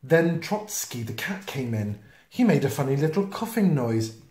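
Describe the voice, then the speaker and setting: A man reading a children's picture book aloud in an expressive, playful manner. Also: fairly high pitch, a little hiss or hum. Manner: expressive, slow, with playful sound effects and asides. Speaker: storytime reader. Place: small indoor room, seen close to the camera. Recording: roomy, echoey home video sound.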